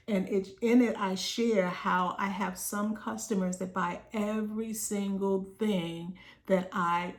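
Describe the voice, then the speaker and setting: Slightly raspy woman coaching on a call. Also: medium pitch. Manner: conversational, often tags sentences with "right?"; reads quoted passages aloud, then explains. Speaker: business coach and host. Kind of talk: teaching on a video call. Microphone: video call.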